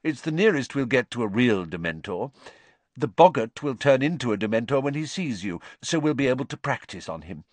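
A man voicing a gentle, tired middle-aged teacher who explains things patiently. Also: medium pitch, sing-song, brisk, dry recording, voice pushed up.

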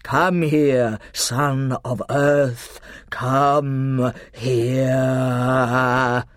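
Majestic lion for a children's storybook, played by a male narrator: deep, measured, fatherly authority, unhurried pacing. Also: dark tone, no echo, studio quality, heavily acted. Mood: commanding, mock-stern. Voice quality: deep, breathy-slow delivery. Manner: slow, deliberate.

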